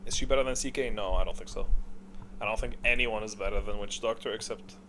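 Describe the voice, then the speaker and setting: A man's voice: fairly low pitch, fairly even intonation, fast talker, sharp tone, a little hiss or hum. A man giving casual, off-the-cuff commentary on a game tier list, bluntly opinionated, thinking aloud and repeating himself for emphasis.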